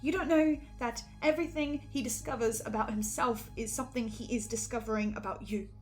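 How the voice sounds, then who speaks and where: Woman, high voice, stammering, defensive, in-character discussion, faint music behind. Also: brisk, a little mellow, poor audio. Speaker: podcast panelist in character as a researcher. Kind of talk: semi-improvised in-character discussion of a horror audio drama.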